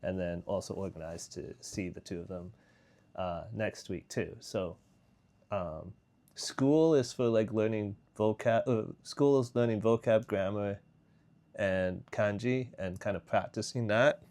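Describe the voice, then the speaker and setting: A man's voice: on the low side, fairly even intonation, unhurried, darkish tone. A young man vlogging in a casual, rambling way, full of fillers and restarts. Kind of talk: casual vlog chat.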